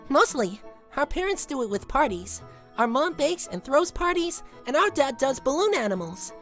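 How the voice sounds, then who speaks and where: A young cartoon pony girl. She is breezy and blunt, with a high, lively voice. Faint music plays behind her. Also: moderate pace. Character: young female pony twin, blunt, breezy, self-assured. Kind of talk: animated audio drama acting.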